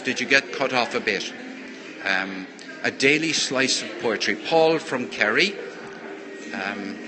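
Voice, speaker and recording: Rambling man livestreaming amid café chatter. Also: on the low side. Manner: rambling, effusively thankful to viewers. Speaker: poetry reader and livestream host. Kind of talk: casual livestream chat with viewers. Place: busy café. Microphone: handheld earbud microphone. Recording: live phone stream in busy café. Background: chatter of other people.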